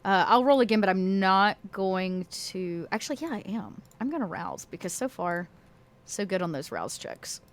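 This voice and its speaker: A woman's voice: mid-range voice, very animated, normal speed. A woman playing in a tabletop game, thinking aloud and changing her mind mid-sentence as she decides on her rolls. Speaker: player. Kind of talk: tabletop game play, casual.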